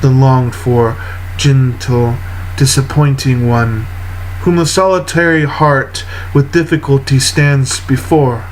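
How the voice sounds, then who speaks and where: A man reading poetry aloud in a solemn, literary style, with deliberate, measured phrasing and frequent pauses. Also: medium pitch, steady tone, slight background noise, low-quality recording. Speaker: poetry reader.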